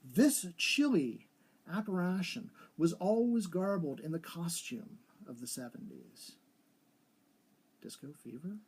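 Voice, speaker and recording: A man giving a live, expressive reading of an old literary ghost story, with a husky voice. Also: sits high, slow pace. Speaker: story reader.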